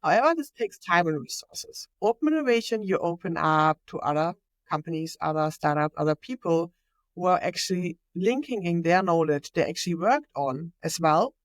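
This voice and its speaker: A woman's voice: lowish voice, expressive, moderate pace, no room sound. A woman with a German accent, speaking English as a second language, answers as a podcast guest. She talks eagerly and fluently, with small grammar slips along the way. Her voice sits close to the mic in a dry room. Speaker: podcast guest, innovation manager. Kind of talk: conversational interview.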